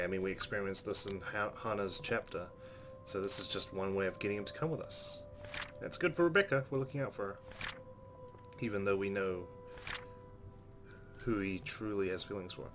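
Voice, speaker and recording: Fast-talking low-voiced man reading game narration. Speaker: let's play host. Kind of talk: reading visual novel narration aloud with commentary. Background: soft game music.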